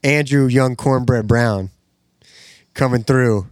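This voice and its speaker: A man's voice: medium pitch, slow, darkish tone, no echo. A male radio host talks loosely and slangily into a close studio microphone, packing his sentences with "like". The sound is dry, with no room around it. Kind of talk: casual radio chat.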